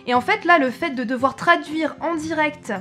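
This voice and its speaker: Chatty young French woman, slightly high. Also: normal speed, pushed and bright, soft background music. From France. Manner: chatty, self-correcting, frequent hesitations. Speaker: travel vlogger. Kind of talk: casual chat to camera.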